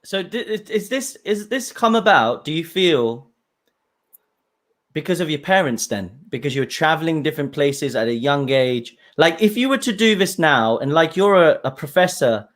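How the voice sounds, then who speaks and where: A male podcast host with a slightly high voice who talks in a friendly, chatty way. He laughs a lot and often stumbles and restarts mid-sentence as he asks his questions. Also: animated, normal speed, fairly bright tone. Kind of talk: casual interview chat.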